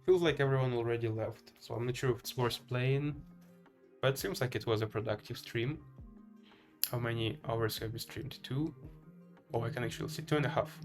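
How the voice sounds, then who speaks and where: A man from Ukraine with a Ukrainian accent, giving casual live game commentary and chatting to viewers in a hesitant, thinking-aloud way. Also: mid-range voice. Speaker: live streamer playing chess.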